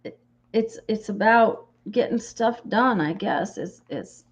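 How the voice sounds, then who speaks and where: Slightly croaky woman chatting while crafting. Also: on the high side, expressive, slow pace, muffled recording. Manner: chatty, greets viewers by name while working. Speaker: craft livestream host. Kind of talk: casual chat while crafting.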